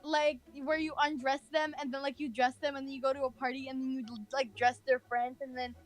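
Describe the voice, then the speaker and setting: A woman's voice: sits high, little pitch movement, fairly quick. A young American woman telling a personal story casually, in a rambling way peppered with "like". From California, United States. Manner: rambling, heavy use of filler "like".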